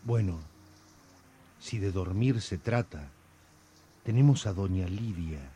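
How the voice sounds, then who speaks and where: A man playing the wry, suggestive storyteller of a radio serial, with theatrical, measured scripted narration. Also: low voice, dark tone, a little hiss or hum. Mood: wry, dramatic.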